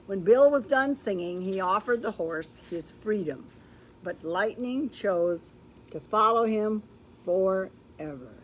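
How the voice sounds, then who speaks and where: An older woman reading a children's story aloud in a deliberate, expressive way, with explanatory asides. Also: mid-range voice, a little hiss or hum. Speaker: storybook reader. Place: indoor room with books, like a classroom or library.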